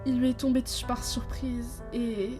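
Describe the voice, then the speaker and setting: A female actor plays a middle-aged mob mother in an audio drama. She speaks with grave restraint in a slightly high, warm-toned voice. Quiet music runs underneath. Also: flat delivery, close to an everyday voice. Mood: grave, controlled. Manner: measured, withholding, grave. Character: composed, grave mafia mother. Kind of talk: French audio drama acting. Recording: faint music behind.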